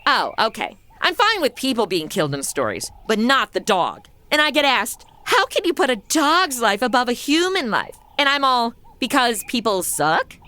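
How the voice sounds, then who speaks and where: Irreverent young chatterbox for audio comedy: high, animated, snarky, casual, easily sidetracked. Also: female voice, normal speed, hardly any pauses. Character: flippant modern young woman, chatty, easily distracted, irreverent. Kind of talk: comedy audio drama, modern asides.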